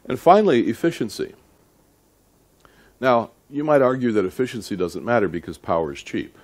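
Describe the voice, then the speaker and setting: A middle-aged man lecturing at a seminar in a deliberate, emphatic but conversational way, often checking in with his listeners. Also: medium pitch, big pitch swings, darkish tone. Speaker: audio engineering lecturer.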